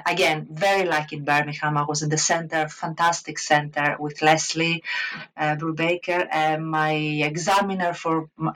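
A woman in her fifties with a Greek accent, a guest art historian telling her story in an interview, animated, with many restarts. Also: sits low, low-quality recording. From Greece, Crete. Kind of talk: interview conversation.

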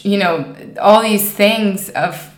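A woman's voice: mid-range voice, takes it slowly. A woman in her twenties from Mexico, telling personal stories as an interview guest in an emphatic, conversational way full of "you know". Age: 20s. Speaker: podcast guest. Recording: roomy, echoing sound.

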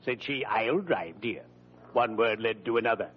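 An actor playing a friendly, morbid undertaker in an old radio comedy, with a solemn, pompous deadpan. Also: male voice, lowish voice, normal speed, husky. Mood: grandly morbid. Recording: muffled vintage radio recording.

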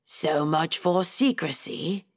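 A woman voicing a weary, protective, matter-of-fact woman of the house in an audiobook, guarded and brisk in manner. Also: medium pitch, husky. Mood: exasperated.

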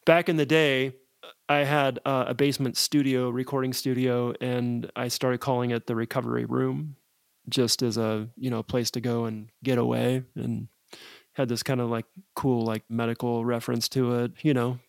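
An American man speaks casually as a guest in an interview. His voice sits at a middle pitch, and the recording is dry, with very little room sound. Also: flat delivery, normal speed, creaky, no echo. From United States (Nebraska). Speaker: podcast guest, guitar effects pedal maker.

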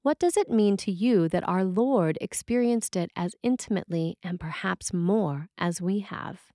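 Female sermon reader, measured and reflective, mid pitch, very dry close recording. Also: dark tone, dry recording. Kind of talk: scripted sermon reading.

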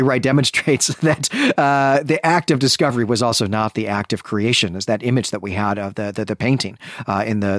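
A man's voice: mid-range voice, no echo, studio quality. A man co-hosting a literary discussion podcast, explaining in a discursive, enthusiastic way. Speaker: podcast host.

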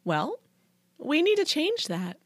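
A woman's voice: mid-range voice, sing-song, moderate pace, no echo, voice pushed up. Woman playing a bold, coy teenage cheerleader. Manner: confident, cooing, teasing. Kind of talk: audiobook character dialogue.

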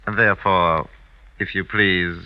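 A worldly older matchmaker in an old radio drama. His low voice is unhurried, courtly and insinuating, on a dull vintage recording. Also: steady tone, smooth. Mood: smooth, businesslike. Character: suave, worldly older French marriage broker.

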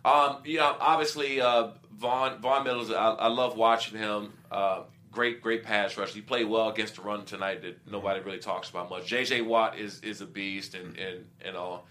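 A middle-aged man with a bright, forceful tone talks casually about football as a guest. He explains his views with examples and acts out quoted remarks, like a former player recalling his career. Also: lowish voice, fairly even intonation, normal speed, few pauses. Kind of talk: casual sports talk.

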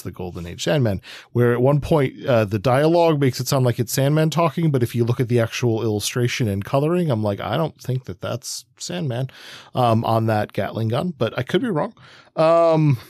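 A man's voice: medium pitch, darkish tone, dry recording. A man with a mid-range voice chats as a podcast co-host. His delivery rambles, with emphatic asides along the way, and the recording is close and dry. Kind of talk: casual comic book discussion.

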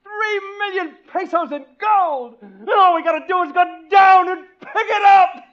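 An actress playing a gangster's greedy, gleeful sweetheart, shrill and excited, in a 1940s radio drama. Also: female voice, a little slow. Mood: gleeful, exultant. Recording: muffled 1940s radio recording.

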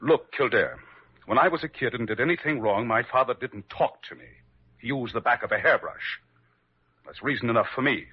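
A man's voice: mid-range voice, a little fast, husky, natural voice. An actor playing a stubborn, self-righteous middle-aged father in an old radio drama, curt and formal. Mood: curt, cold. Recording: dull vintage radio recording.

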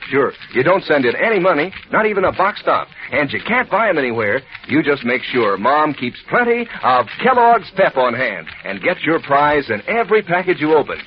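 A man delivering a scripted radio commercial in a cheerful, salesy manner. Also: fairly high pitch. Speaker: sponsor spokesman. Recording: dull old radio recording.

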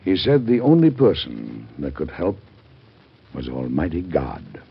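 An actor in an old-time radio drama playing a middle-aged, devout American president with a husky voice and a grave, stately, oratorical manner. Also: male voice, extremely low pitch, big pitch swings, measured pace, heavily acted. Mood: anguished, weary. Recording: dull vintage radio drama recording.

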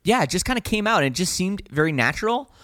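A man's voice: high voice, very animated, fast, a bit bright, no room sound, clean studio sound. A man, a band's singer, talking casually and frankly in an interview, often restarting his sentences. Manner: rambling, self-correcting, frank. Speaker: band vocalist guest.

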